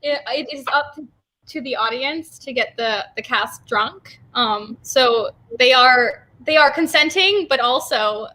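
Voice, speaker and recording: A woman guest chatting casually, with halting pauses as she explains things. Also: high voice, sharp tone, rough recording. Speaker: guest from a theater company.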